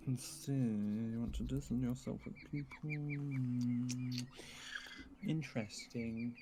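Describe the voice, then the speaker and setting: A man's voice: mid-range voice, fairly even intonation, takes it slowly, natural voice. Man playing a dry, nosy amateur psychiatrist, some background noise. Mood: quizzical. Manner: dry, mock-formal, quizzical. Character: teasing, nosy amateur psychiatrist, drily unflappable. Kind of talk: improvised Minecraft zombie roleplay.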